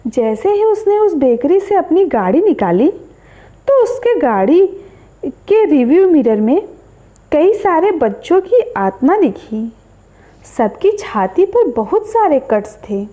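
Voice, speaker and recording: A woman narrating a scripted horror story as a storyteller, with an expressive delivery. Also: high-pitched.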